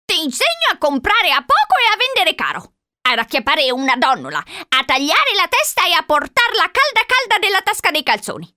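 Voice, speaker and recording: A woman voicing a cranky old mountain witch, shrill and exclamatory, pleading and threatening in an audiobook story. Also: wildly swooping pitch, normal speed, pushed and bright, no room sound, clean studio sound, heavily acted. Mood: coaxing. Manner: exclamatory, cajoling.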